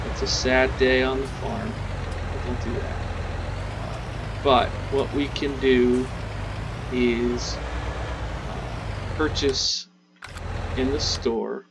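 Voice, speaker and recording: A man hosting a casual gameplay tutorial, chatting in a relaxed way as he plays, with game sounds faintly behind. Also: medium pitch, drawn-out words, pauses often, background noise. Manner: relaxed, chatty, talks while playing. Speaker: gaming channel host.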